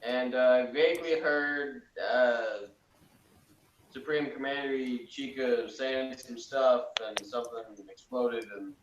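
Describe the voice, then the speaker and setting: A man's voice: mid-range voice, steady tone, slow pace, slight background noise. A man giving casual critical commentary as a panelist, blunt and dismissive in his manner. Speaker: podcast panelist. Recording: echoey room, far from mic.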